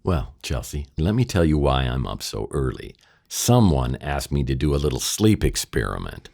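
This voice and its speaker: An American actor playing a wry, world-weary private detective in a noir radio drama, talking and narrating with dry, sardonic delivery. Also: male voice, a bass voice, big pitch swings, normal speed, vocal fry, a little mellow, exaggerated voice. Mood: dry, teasing.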